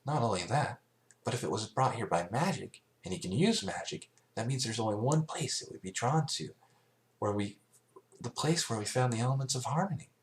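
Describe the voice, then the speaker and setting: A man voicing a brainy young female pony who reasons things out in a deliberate, explanatory way, in a story reading. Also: mid-range voice, animated, a little hiss or hum, pitched above the performer's own voice, lightly acted. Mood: reasoning.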